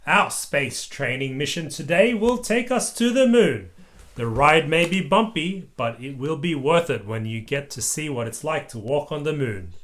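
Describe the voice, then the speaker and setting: A parent reading aloud as a hearty old grandpa rabbit astronaut in a storybook. The delivery is booming and encouraging, with pitch that swings widely. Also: expressive, normal speed, close to an everyday voice. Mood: booming welcome. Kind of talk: children's storybook read-aloud.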